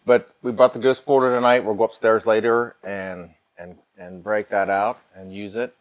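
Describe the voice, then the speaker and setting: Low-pitched American man hosting a livestream. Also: little pitch movement, normal speed, rough recording. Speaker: host of a paranormal livestream. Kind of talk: casual livestream chat and product unboxing.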